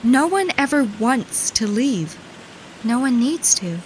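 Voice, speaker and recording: A woman's voice: a little high, sing-song, slow pace, darkish tone, rough recording. A woman playing a blissful, unwavering ferry captain devoted to a cult, in a radio play. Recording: faint outdoor ambience and noise behind.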